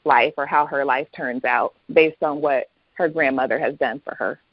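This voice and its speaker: A woman's voice: extremely low pitch, moderate pace, no echo, dull audio. A woman speaking as a guest author in a casual interview, explaining things in a conversational way.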